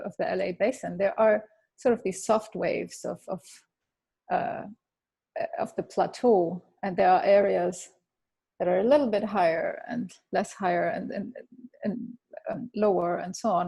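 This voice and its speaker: Interviewee in her fifties; husky, halting, restarts a lot. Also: mid-range voice, warm tone. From Germany. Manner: hesitant, many fillers and restarts. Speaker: interviewee, architect. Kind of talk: thoughtful interview answers.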